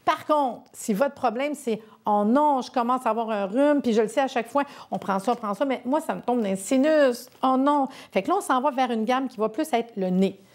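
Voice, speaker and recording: A woman's voice: a little high, fairly quick, few pauses. A woman with a Québécois accent presenting a sponsored health segment, chatty and persuasive. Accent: Québécois French.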